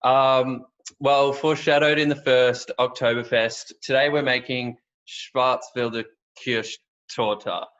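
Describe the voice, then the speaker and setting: A man's voice: medium pitch, fairly even intonation, slow, fairly bright tone, muffled recording. Jokey Australian man in a roomy space. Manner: playful, wry, pun-making. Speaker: cooking show host. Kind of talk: jokey casual cooking presentation.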